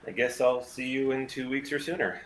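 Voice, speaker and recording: A man's voice: mid-range voice, steady tone, brisk, fairly bright tone, slight background noise, lightly acted. A man playing a wry mercenary starship pilot in a script table read, with a dry, casual, sardonic way of talking. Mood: dry, guarded.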